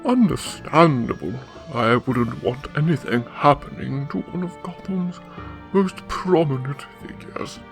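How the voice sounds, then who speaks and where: An actor playing a suave, pompous older crime boss with an oily, formal manner in a radio drama. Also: male voice, medium pitch, lively intonation, measured pace, vocal fry, soft background music. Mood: smoothly courteous.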